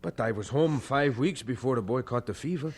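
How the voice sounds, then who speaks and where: An actor playing an earnest, devout pastor with a hesitant manner in a radio drama. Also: male voice, medium pitch, slightly rough. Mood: distressed, pleading. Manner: hesitant, halting in polite talk. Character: earnest, devout German-born male pastor, married.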